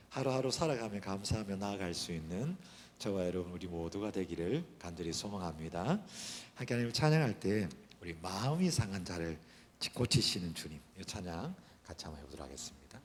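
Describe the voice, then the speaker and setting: A man with a hoarse voice leading praise at a church service, soft-spoken and gently encouraging the congregation. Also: low voice, expressive, fairly quick, in a large hall, slight background noise. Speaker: worship leader. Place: church sanctuary. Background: worship band music.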